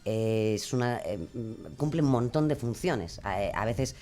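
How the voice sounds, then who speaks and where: A woman with a Spain Spanish accent explaining her craft in a casual, expressive way as a podcast guest. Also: a bass voice, unhurried. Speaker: podcast guest, true crime narrator and creator.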